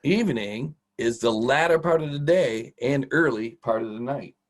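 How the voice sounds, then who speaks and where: Husky man teaching kids casually. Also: medium pitch, normal speed. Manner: folksy, informal, encouraging. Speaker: host, teacher. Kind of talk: casual children's vocabulary lesson.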